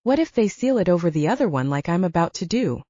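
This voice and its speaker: A woman's voice: fairly low pitch, very animated, fast, dark tone. A woman voicing an irritable, principled young male wizard held captive, grumbling sarcastically in an audiobook. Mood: exasperated. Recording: roomy, dull lo-fi audio.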